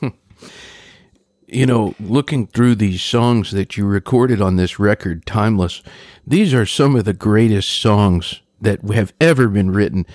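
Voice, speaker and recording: A man's voice: on the low side, a little slow, a little mellow, no room sound, studio quality. A man hosting an interview, putting conversational questions to his guest. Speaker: interviewer, show host.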